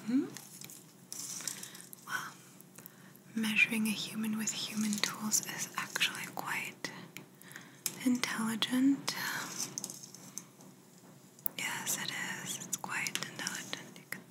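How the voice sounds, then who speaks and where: A young woman doing a soft-spoken, whispered ASMR roleplay as a calm, playful alien examiner, close to the microphone, gentle and reassuring. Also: a little high, slow, pauses often, poor audio. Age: young adult.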